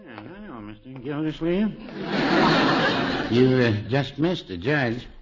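An actor playing a mild, folksy old small-town man, hesitant and deadpan, in a 1950s radio comedy. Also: mid-range voice, slow pace, over loud music. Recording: muffled vintage 1950s radio recording.

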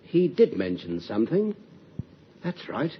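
An actor playing a paternal, confiding company boss, genteel and hesitant, in old-time radio drama. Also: male voice, mid-range voice, wildly swooping pitch, a little slow. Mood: recollecting. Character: concerned, gossipy, paternal male company boss. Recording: muffled, noisy old radio recording.

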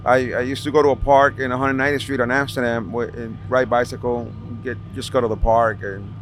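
Halting middle-aged New man, noisy background. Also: medium pitch, little pitch movement. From New York City, Washington Heights. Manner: halting, repeats words. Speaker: podcast guest, veteran street racer. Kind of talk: casual reminiscing in an interview. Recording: clear background noise of racing event. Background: vehicle and event noise.

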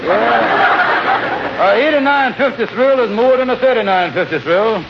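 A man's voice: extremely high pitch, expressive, a little slow, creaky, exaggerated voice. An actor playing a wheedling, scheming con-man in a 1940s radio comedy, folksy in grammar and pompously mangling big words. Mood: sly. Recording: muffled, noisy old radio broadcast recording.

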